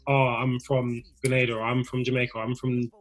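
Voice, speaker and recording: A man's voice: mid-range voice, completely flat delivery, fairly quick. Young guest, earnest, talks his point through. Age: young adult. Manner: earnest, builds his point with many fillers. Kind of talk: casual chat.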